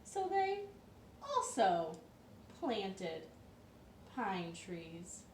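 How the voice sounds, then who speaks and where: A woman hosting a children's storytime from her living room, telling the story in a playful, expressive and emphatic way. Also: medium pitch, drawn-out words. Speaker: storytime host. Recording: roomy echo, distant mic, light noise.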